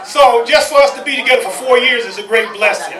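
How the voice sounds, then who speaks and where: A man with a very high-pitched voice hosts a live event, loud and rallying. He is heard from a distance in an echoey space, with a crowd around him. He addresses the audience like someone working a stage. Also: quick pace. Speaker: event host.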